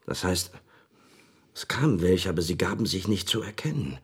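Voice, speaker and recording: An actor in a radio drama playing an agitated, insistent man who argues wild theories with deliberate, dramatic phrasing. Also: deep voice, animated, husky, heavily acted. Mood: tense, brooding.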